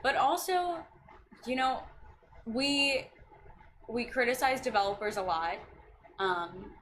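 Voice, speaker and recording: A woman's voice: medium pitch, fairly bright tone. A woman in her twenties, a county district staff member, talking earnestly and passionately about local policy in a casual chat. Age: 20s. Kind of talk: casual chat on a video stream. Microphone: video call. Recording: noisy recording.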